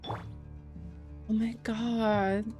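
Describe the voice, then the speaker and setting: A woman streaming a game, reading text aloud and reacting casually with a giggly, expressive manner. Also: on the high side, very slow, faint music behind.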